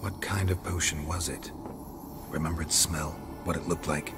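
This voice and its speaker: An actor playing a gruff, stoic monster hunter in a video game, asking blunt questions in a terse, deadpan way. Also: male voice, low voice, moderate pace. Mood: probing. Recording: loud music under the voice. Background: game soundtrack music.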